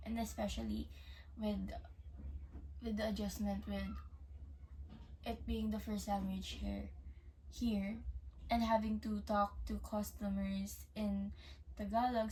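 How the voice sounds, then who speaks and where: Young woman from Canada, hesitant interviewee, mellow voice, slow pace, distant mic. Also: mid-range voice, flat delivery, a little hiss or hum. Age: young adult. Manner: hesitant, many fillers and restarts, mixes English with Tagalog. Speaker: interviewee, working student. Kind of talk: casual interview answers.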